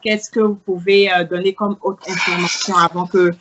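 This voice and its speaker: A woman's voice: fairly low pitch, flat delivery, moderate pace, muffled recording, heavy background noise. A woman hosting an online interview, asking polite, slightly hesitant questions. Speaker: interviewer host.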